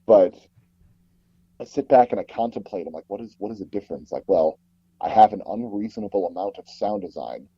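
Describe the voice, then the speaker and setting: Male interview guest, low and husky, rambling and self-effacing, on a muffled, dry recording. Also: animated, fairly quick, dry recording. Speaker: interview guest, audio drama producer. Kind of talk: casual interview talk.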